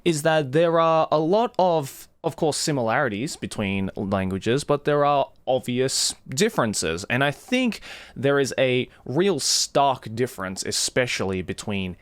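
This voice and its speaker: A man's voice: medium pitch, lively intonation, unhurried, no echo. Chatty young man, dry close sound. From Australia. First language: Japanese. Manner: chatty, jokey, slangy. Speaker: YouTuber. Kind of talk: casual talk to camera.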